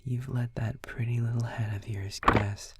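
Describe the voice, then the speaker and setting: A man voicing an obsessive, possessive yandere lover in a whispered audio roleplay, with a slow, low, menacing murmur. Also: level tone, very noisy, lightly acted. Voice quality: breathy whisper. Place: heard through the roommate's headphones.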